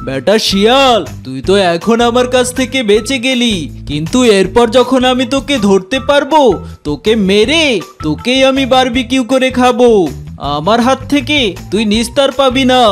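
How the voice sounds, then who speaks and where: A man voicing a hot-tempered male tiger in a cartoon, blustering and threatening. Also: extremely high pitch. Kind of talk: cartoon voice acting. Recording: music behind.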